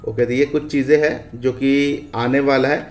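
A man's voice: medium pitch, steady tone, moderate pace, faint music behind. An Indian man hosting a gaming video, giving a casual walkthrough commentary with repeated stock phrases. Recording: echoey room with faint background noise.

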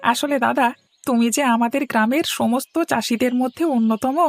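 A woman's voice: a little high, steady tone, normal speed, no room sound. A woman playing an eager, loyal young village farmhand in a cartoon. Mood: eager, admiring. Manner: eager, deferential. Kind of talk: cartoon dialogue. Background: instrumental music.

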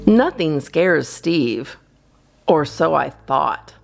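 A woman's voice: low-pitched, lively intonation, very slow, gravelly. A woman reading a children's storybook aloud expressively, as a storytime reader. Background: guitar music.

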